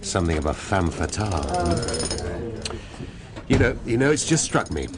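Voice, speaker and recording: An actor playing a dry, sardonic middle-aged police inspector in a radio drama. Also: male voice, sits low, sing-song, normal speed, heavy background noise. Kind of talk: BBC radio drama acting.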